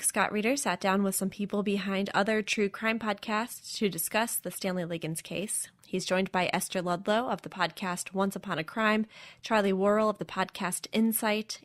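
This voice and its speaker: A woman announcer reading a scripted episode introduction in an even, read-out manner. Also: medium pitch, no echo.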